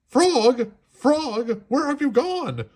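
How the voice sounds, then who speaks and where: A man plays an excitable storybook toad, male and proud. The voice rides high and swings widely, with loud, dramatic exclamations. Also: drawn-out words, heavily acted. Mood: frantic, distressed.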